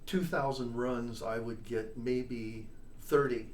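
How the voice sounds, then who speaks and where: A man's voice: medium pitch, fairly even intonation, takes it slowly. Older man telling stories in an echoey room. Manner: chatty storyteller, tags points with "all right?" and "you know?". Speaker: interview guest, trombonist and composer. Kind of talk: casual storytelling interview. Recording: echoey room, distant mic, faint noise.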